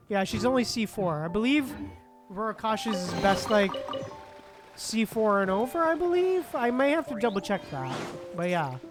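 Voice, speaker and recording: A game streamer giving casual live commentary, repeating phrases and muttering with offhand swearing. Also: medium pitch. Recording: game music behind the voice. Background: game music.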